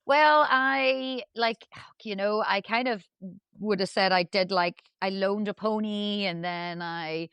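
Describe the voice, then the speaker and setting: A woman's voice: mid-range voice, takes it slowly, fairly bright tone, clean tone, no room sound. A middle-aged woman with a Northern Irish accent, chatting as a podcast guest in a chatty, self-deprecating way with plenty of laughs. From Northern Ireland. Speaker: guest, dressage rider. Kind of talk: casual podcast chat.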